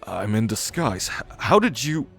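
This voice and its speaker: A man's voice: lowish voice, very animated, unhurried, vocal fry, fairly bright tone, slightly rough, soft background music, full character voice. A man playing a cocky, irritable male storm god with a blunt, casual way of talking in a fantasy audio roleplay. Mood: annoyed.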